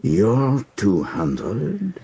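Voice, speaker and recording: A man acting in a radio drama as a cool, menacing card player, taunting and measured. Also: on the low side, huge pitch swings, gravelly, mellow, husky, heavily acted.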